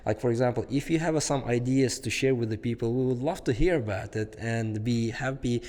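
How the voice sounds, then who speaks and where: A man hosting a podcast, talking hesitantly with many fillers and pauses. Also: medium pitch, darkish tone, big-room sound. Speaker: podcast host. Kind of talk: casual interview conversation.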